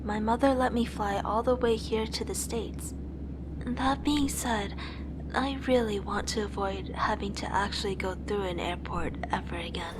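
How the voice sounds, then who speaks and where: A woman's voice: medium pitch, steady tone, normal speed, background noise, natural voice. A woman playing a rebellious, wistful young artist who tells her own life story in earnest scripted narration and dialogue. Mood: reflective, wistful. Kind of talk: scripted first-person narration with acted dialogue.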